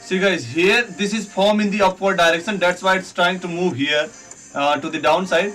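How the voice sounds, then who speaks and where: Brief: male trading streamer, slightly high voice, off-mic, faint music bed, live chart walkthrough. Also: steady tone, normal speed, fairly bright tone, recorded from a distance. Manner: talks viewers through charts while reading chat.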